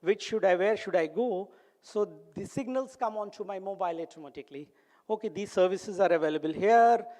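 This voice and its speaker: A man's voice: high voice, fairly quick, darkish tone. A man with an Indian English accent giving an earnest, instructive talk at a medical conference. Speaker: conference speaker. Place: conference hall.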